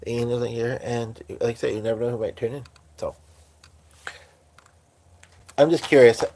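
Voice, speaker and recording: A man's voice: mid-range voice, fairly even intonation, moderate pace, warm tone. Rambling man live-streaming from his piano. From Hungarian. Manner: rambling, talks to viewers while setting up. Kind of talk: casual live-stream chat. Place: at home by a piano. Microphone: phone.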